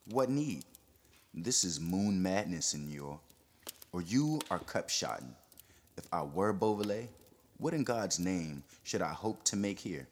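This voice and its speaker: A man reading an audiobook, voicing a cool gentleman pirate who sounds careless and amused. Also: low-pitched, lively intonation, measured pace, a little hiss or hum. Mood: mocking.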